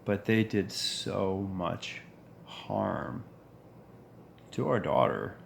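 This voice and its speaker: A man in his forties with a deep voice, talking earnestly in a reflective monologue, pausing and restarting as he goes. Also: expressive, vocal fry, a little hiss or hum. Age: 40s. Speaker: vlogger.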